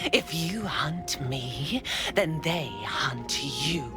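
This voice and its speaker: A sadistic cult killer from a fantasy video game. She speaks very slowly and sweeps wildly up and down in pitch, toying with her prey before dropping to a hiss. Music plays behind her. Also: exaggerated voice. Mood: mocking. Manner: taunting, sing-song, swings between hiss and whisper. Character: sadistic young female murder-cult assassin. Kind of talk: video game cutscene acting.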